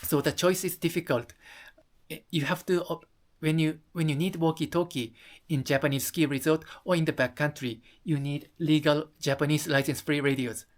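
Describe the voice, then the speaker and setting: A presenter explaining things to viewers in halting, careful English with non-native phrasing. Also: medium pitch, fairly even intonation. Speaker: video presenter.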